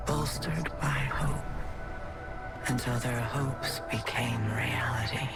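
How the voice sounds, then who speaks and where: A woman playing a solemn otherworldly being that speaks through a borrowed human voice, narrating a game cutscene in a measured, portentous way. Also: low voice, creaky, full character voice. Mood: grave, reverent. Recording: loud music under the voice.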